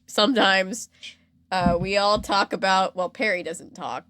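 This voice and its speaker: A woman's voice: medium pitch, a little slow, fairly bright tone, no echo. A woman hosting a podcast in a casual, playful, bantering comedic chat. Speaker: podcast host. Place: indoor room.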